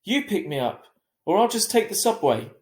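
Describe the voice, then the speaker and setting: A man's voice: high-pitched, big pitch swings, normal speed, darkish tone, echoey room, pitched above the performer's own voice. A man voicing a touchy, defiant fifteen-year-old girl in an emphatic storybook reading. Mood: indignant, insistent. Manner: emphatic, indignant.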